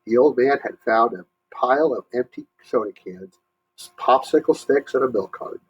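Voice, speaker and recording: A man reading a story aloud to young listeners, pausing to explain and ask questions. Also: mid-range voice, breathy edge, dull audio. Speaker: storybook reader, teacher.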